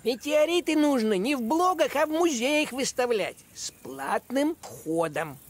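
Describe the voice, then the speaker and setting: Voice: sits high, big pitch swings, unhurried, full character voice. An actor voicing a thrifty, grumbling, know-it-all male cat in a children's cartoon, with a sardonic, schoolmasterly manner. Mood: scolding. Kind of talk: animated children's cartoon acting. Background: music.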